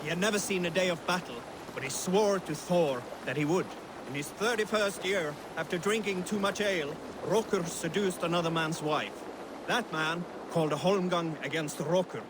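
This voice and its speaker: A man's voice: high-pitched, normal speed, natural voice. A man playing a Viking raider who tells a boastful tale in a dramatic storytelling style, in a video game. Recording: loud water and ship noise behind.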